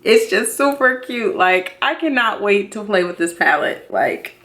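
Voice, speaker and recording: A woman in her thirties chatting casually and excitedly to viewers as a beauty vlogger, expressive and slangy, repeating herself for emphasis. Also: mid-range voice, measured pace. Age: 30s. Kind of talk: casual excited unboxing chat.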